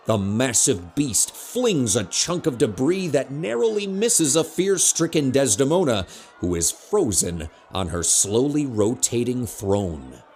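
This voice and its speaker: A man's voice: mid-range voice, a little mellow, a little hiss or hum, natural voice. A man narrating an adventure audio drama in a dramatic, scripted storytelling style.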